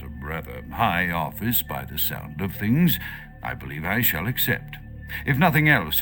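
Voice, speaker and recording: Pompous, low-voiced vampire scholar. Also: male voice, a bit bright. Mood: smug, self-satisfied. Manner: pompous, florid, disdainful, precise diction. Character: pompous erudite male vampire doctor, self-assured, paranoid-era Victorian diction. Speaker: audio diary narrator. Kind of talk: theatrical scripted monologue.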